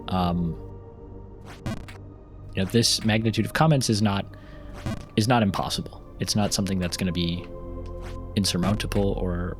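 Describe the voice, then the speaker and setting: Rambling low-voiced man gaming. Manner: rambling, many ums and restarts, laughs. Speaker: gaming YouTuber and novelist. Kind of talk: casual commentary while playing.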